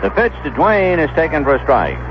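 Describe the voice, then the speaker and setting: Lively male baseball announcer on lo-fi radio. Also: on the high side, brisk, over music. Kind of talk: radio sports commentary. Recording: dull lo-fi 1970s AM radio broadcast.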